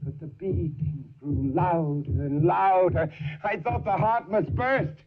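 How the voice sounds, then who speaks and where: An actor playing a nervous, mad murderer confessing with cunning glee in a theatrical horror monologue, halting and intense, with stammered repetitions. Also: male voice, sits high, dull audio. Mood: frantic, terrified.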